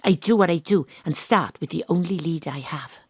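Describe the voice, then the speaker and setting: A world-weary female detective in an audio drama. Her voice sits a little low and is dryly recorded. She narrates with wry, deadpan noir delivery, like someone who has seen it all. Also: normal speed, husky, no echo. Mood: wry, brooding. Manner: deadpan, dry. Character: female private detective, world-weary, wry, hard-boiled ex-rugby star.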